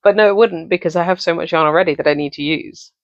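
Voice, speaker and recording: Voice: medium pitch, fast, dry recording. A young adult with an English accent chatting casually to camera as a crochet vlogger, rambling with many ums and self-corrections. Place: home office. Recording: dull, dry recording.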